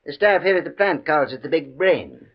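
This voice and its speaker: A man's voice: a little high, animated, normal speed. A man playing a kindly, chatty old night watchman with a folksy manner in a 1950s radio play. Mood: chatty, joking. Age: older. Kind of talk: 1950s radio drama acting. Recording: dull, muffled old radio recording.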